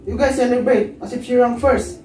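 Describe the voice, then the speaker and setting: A woman's voice: a little high, fairly even intonation, a little fast, mellow, slight background noise, lightly acted. Stern father played by a woman, echoey room. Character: stern, demanding father of three. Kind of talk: amateur one-act melodrama acting. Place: living room, far camera mic. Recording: echoey room, distant mic, music over it.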